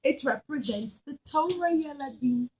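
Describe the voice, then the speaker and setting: A woman's voice: high-pitched, animated, moderate pace, a little mellow, a little hiss or hum, poor audio. A woman storyteller speaking to young children in simple, repetitive, teacherly phrases. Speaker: puppet show storyteller for children.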